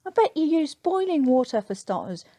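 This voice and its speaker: A woman's voice: sits high, very animated, normal speed, dark tone, no echo, voice pushed up. A woman voicing an indignant, sardonic English airman with a Cockney accent in a story reading. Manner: emphatic, exasperated.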